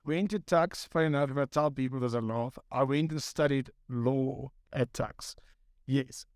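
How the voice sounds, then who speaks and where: A man's voice: medium pitch, normal speed, a little mellow, no echo, studio quality. A man in his thirties from South Africa, a photographer, telling his story in a rambling, self-deprecating way. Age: 30s. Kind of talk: casual chat.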